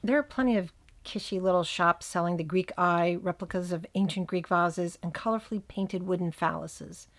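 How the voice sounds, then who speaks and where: A woman's voice: on the low side, normal speed, few pauses. A woman with a New Jersey accent hosting a podcast, giving scripted narration with wry, dry asides. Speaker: podcast host.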